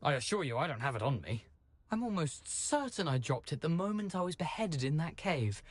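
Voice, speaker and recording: An actor playing the jaunty, theatrical ghost of a beheaded gentleman in a video game, with a British accent and a flowery, wry, genteel delivery. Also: a little high, big pitch swings, fairly quick, dry recording. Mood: wry, rueful.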